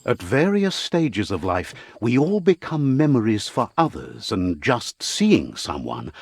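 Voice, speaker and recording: A man playing the storyteller of a fantasy video game, narrating in a measured, dramatic, fable-like way with a husky voice. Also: medium pitch, wildly swooping pitch, dry recording, clean studio sound, full character voice. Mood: solemn storytelling.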